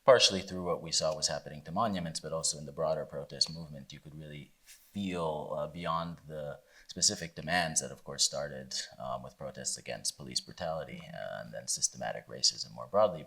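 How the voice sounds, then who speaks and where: A man with an American accent, a filmmaker answering a question at a panel, measured and reflective with frequent fillers. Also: very deep voice, vocal fry, sharp tone. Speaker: filmmaker guest.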